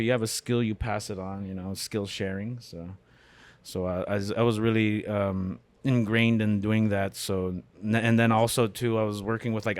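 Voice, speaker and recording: A middle-aged man from Canada, a comedian and beatmaker, chatting as a guest in a cafe with many fillers and restarts. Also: on the low side. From Canada, born in Winnipeg. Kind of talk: casual interview conversation.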